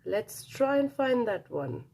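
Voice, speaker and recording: A woman reads a storybook aloud for young children. Her delivery is slow and very animated, with a slightly husky voice. She tells it dramatically and draws the listeners in. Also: fairly high pitch. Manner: dramatic, engages listeners with questions.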